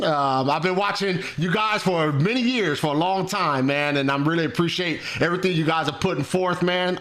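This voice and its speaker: A man in his thirties hosting a live debate show, relaxed and casual, saying "man" a lot. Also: on the high side. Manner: laid-back, says "man" often. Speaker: host.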